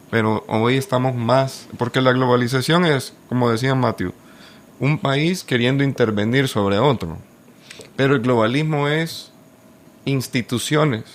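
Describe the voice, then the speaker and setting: Earnest guy from el salvador on a church panel, explains stuff, lots of restarts. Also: mid-range voice. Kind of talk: casual discussion.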